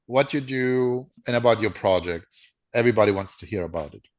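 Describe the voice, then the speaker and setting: A man's voice: fairly low pitch, fairly quick. A man hosting a podcast in casual chat, welcoming listeners and prompting the guest. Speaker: podcast host.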